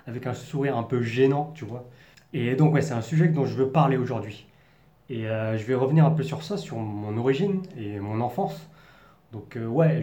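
Mellow-voiced man in an echoey room. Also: medium pitch. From France, Paris region. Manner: earnest, reflective, searching for words. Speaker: vlogger. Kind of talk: personal storytelling to camera.

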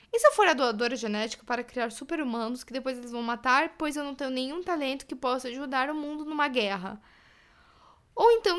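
Young Brazilian woman, high bright voice, anxious and spiralling, audio drama monologue. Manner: rambling, catastrophizing self-questioning. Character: anxious, spiralling young single woman doubting her life choices.

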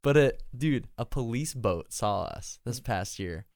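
Young male musician, smooth clear voice, dry close sound, excitable storyteller. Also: medium pitch, a little slow, no echo. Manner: laughs through his stories. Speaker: touring band musician. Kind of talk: casual podcast chat.

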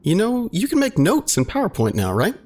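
A man playing a grown son who narrates an audio drama in a sardonic, colloquial, deadpan way. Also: sits high, fairly quick, breathy edge, faint music behind, full character voice. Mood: casual, teasing. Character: wry adult son narrating his own hard-boiled story. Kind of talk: first-person audio drama narration with dialogue.

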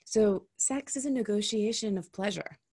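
Female guest, intimacy coach, frank and reflective, slight vocal fry, dry close sound. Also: medium pitch, moderate pace, warm tone, no room sound. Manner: candid, reflective, swears casually. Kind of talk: casual podcast conversation.

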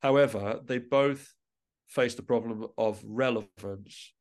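A man talks as a guest in a relaxed podcast conversation. His delivery is reflective and a little hesitant, circling back to restart phrases as he shapes each thought. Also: medium pitch, takes it slowly. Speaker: interview guest, musician and composer.

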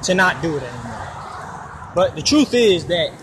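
A man's voice: on the high side, big pitch swings, measured pace. A man in his twenties talking casually for his podcast while walking outdoors by a road, rambling and swearing freely. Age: 20s. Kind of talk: casual personal monologue. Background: passing traffic.

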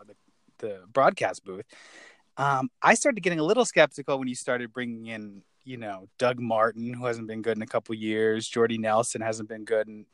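A man hosts a loose, joking podcast chat. He teases his guest and keeps things moving. His voice sits at an ordinary pitch, recorded close and dry. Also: a bit bright, no room sound. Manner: playful, wisecracking. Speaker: podcast host. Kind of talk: casual joking interview.

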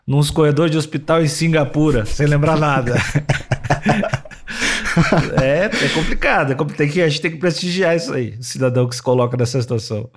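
A man's voice: on the high side, brisk, slight background noise. A man co-hosting a podcast, chatting casually and cracking jokes, often laughing. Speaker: podcast co-host. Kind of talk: casual chat.

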